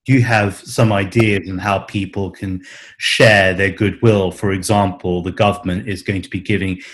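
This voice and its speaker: A man interviewing a guest, asking formal, measured questions. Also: sits low, fairly even intonation, a bit bright.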